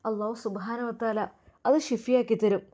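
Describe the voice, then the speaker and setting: A woman's voice: fairly high pitch, steady tone, moderate pace, dark tone, close to an everyday voice. A woman storyteller voicing a concerned mother who anxiously questions and consoles her grown children. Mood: consoling.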